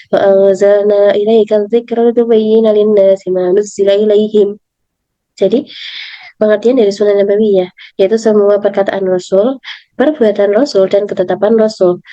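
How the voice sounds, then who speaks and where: A young woman with an Indonesian accent and a hoarse voice, reading a study presentation aloud carefully, as a student. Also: medium pitch, dark tone. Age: young adult. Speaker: university student. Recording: dull lo-fi, slightly echoing room.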